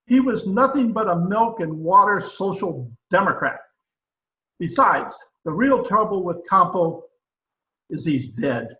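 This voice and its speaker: A man's voice: sits high, sharp tone, roomy sound, exaggerated voice, low-quality recording. A man playing a pompous, blustering dictator president in an old-time radio comedy recorded over a video call. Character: pompous, excitable dictator president of a banana republic.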